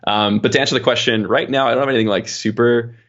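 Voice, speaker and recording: A man's voice: medium pitch, steady tone, quick pace, a bit bright, highs cut off. A man chatting casually as an interview guest, explaining his work as an engineer in a rambling, informal way. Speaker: podcast guest, software engineer.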